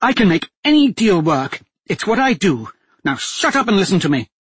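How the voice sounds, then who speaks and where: A man's voice: high voice, sing-song, moderate pace, fairly bright tone, slightly rough, no echo, pitched above the performer's own voice, exaggerated voice. A man voicing a portly middle-aged duchess who is a pushy, insistent haggler. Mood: bossy.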